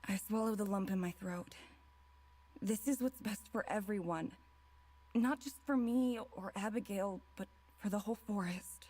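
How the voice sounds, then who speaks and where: A woman's voice: mid-range voice, moderate pace, darkish tone. A woman reading a visual novel's dialogue and first-person narration aloud.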